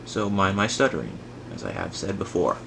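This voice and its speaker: A young man speaks in a raspy, sleepy morning voice with a lowish pitch. He rambles, hesitates and corrects himself. A steady fan hum sits clearly behind him in a home room. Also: a little fast. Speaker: fire alarm hobbyist. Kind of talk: casual talk to camera. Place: room at home beside a wall of alarms.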